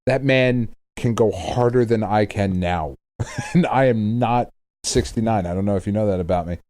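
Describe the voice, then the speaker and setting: A man's voice: lowish voice, normal speed, darkish tone. A middle-aged man chatting casually as a podcast co-host, irreverent and emphatic, swearing freely as he rants. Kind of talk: casual chat.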